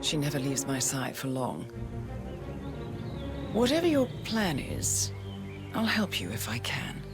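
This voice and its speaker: A woman playing a composed, calculating handler in a cinematic video game, grave and regretful, speaking in a measured, poised way. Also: extremely low pitch, wildly swooping pitch, vocal fry, full character voice. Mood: cool, poised. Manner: measured, deliberate, poised delivery. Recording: loud music behind the voice.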